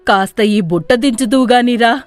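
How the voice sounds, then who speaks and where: A nagging old grandmother from a moral tale, played by a woman, speaks in a fairly high voice. She is reproachful and fed up with her idle grandson. Music plays behind her. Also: normal speed, natural voice. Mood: scolding, weary.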